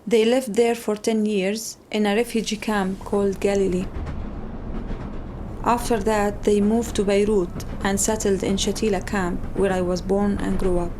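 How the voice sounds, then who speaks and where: A woman in her forties from Lebanon, narrating a documentary in a measured, plain-spoken way. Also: medium pitch, level tone, noisy background.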